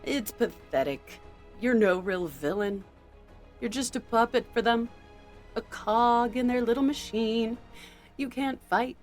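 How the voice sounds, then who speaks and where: A menacing female supervillain played by an actress in an audio drama, with a fairly high voice. She taunts and talks down to her foe with open contempt. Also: measured pace, faint music behind, lightly acted. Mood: scornful. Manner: taunting, condescending. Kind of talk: scripted audio drama acting.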